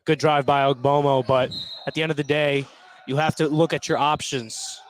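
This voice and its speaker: A man's voice: on the high side, fairly even intonation, moderate pace, a little hiss or hum. A man giving live basketball color commentary in short, informal reactions.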